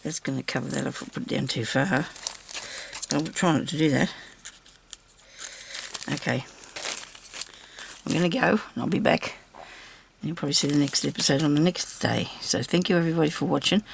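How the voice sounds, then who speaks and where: A woman with a slightly raspy voice, recovering from a sore throat, chatting casually to viewers and to herself as she crafts. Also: low voice, normal speed, pauses often, a little hiss or hum. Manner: chatty, talks to herself while working. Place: craft table indoors.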